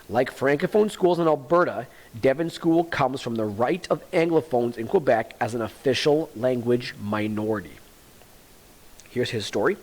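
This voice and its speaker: A man's voice: mid-range voice, a little mellow, poor audio. A man, a teacher reading a textbook aloud to students and explaining it plainly, repeating the key points.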